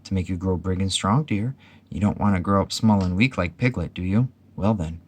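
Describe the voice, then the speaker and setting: Guy reading as a mum kangaroo in a kids story, soothing but bossy, brisk n cheerful. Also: deep voice. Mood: firm, coaxing. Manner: cheerful, firm, coaxing. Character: motherly female kangaroo, brisk, soothing, gently severe.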